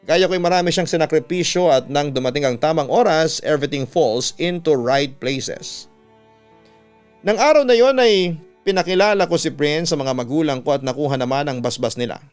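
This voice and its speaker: A Filipino man reading listener letters as a radio storytelling host, with a smooth, clear voice. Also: fairly high pitch, animated, moderate pace. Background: instrumental music.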